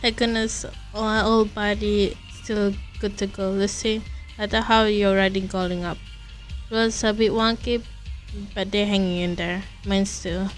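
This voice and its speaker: A woman's voice: medium pitch, flat delivery, unhurried, faint music behind. A woman streaming a game, casually and playfully reacting in short exclamations.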